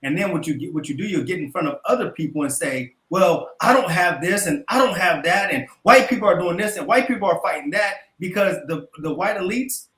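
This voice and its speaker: A man on a debate panel, explaining his argument in a measured, lecturing way. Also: high-pitched, animated, a bit bright, roomy sound, rough recording.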